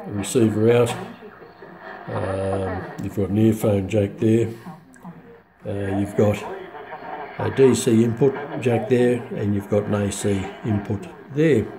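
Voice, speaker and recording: A man giving a casual, unscripted product demonstration in a halting way with frequent pauses. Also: fairly low pitch, vocal fry, low-quality recording. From Australia. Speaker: radio enthusiast presenting a receiver. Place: home kitchen, indoors.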